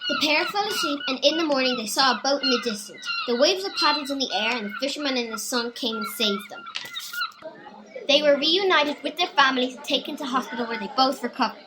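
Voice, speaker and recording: A woman's voice: sits high, big pitch swings, a little fast. A woman reading a scripted story as narrator. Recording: noisy amateur home recording.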